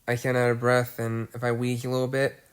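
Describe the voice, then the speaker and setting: A man's voice: medium pitch, monotone, fairly quick, smooth. A man with a cold, sounding congested, giving a severe weather update and reading out long lists of areas with some restarts. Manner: plodding list-reading with restarts. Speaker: weather video host. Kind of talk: casual weather briefing.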